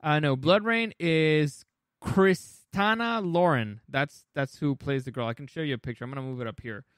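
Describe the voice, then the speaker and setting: A man's voice: on the high side, moderate pace, no echo, clean studio sound. A man co-hosting a casual talk show, giving blunt opinions with some swearing and stammered restarts as he runs through a movie ranking. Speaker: podcast co-host.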